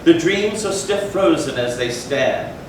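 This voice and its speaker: A man delivers a gothic tale as a dramatic stage reading. His phrasing is measured and theatrical, with deliberate pauses. The recording is distant and heavily reverberant, with clear noise over it. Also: a little high, animated. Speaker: readers theatre performer. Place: large echoey room, live stage performance. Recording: echoey hall from far off, noisy.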